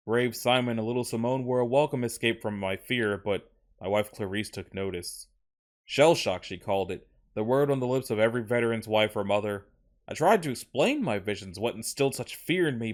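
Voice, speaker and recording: A man's voice: fairly low pitch, natural voice. A man voicing a tormented, obsessed war veteran and inventor in a solemn, dramatic first-person reading of a horror story.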